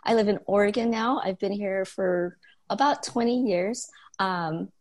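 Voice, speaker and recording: A woman from Hawaii, a guest on a casual panel, talking in a friendly, earnest way with some hesitation. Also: on the low side.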